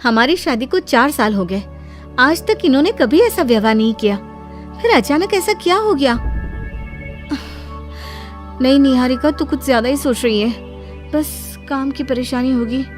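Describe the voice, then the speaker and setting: A woman voicing a sharp-tongued, defiant young daughter-in-law in an animated story, with dramatic, emotional delivery. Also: high-pitched, normal speed, pauses often, faint music behind, pitched above the performer's own voice. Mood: worried.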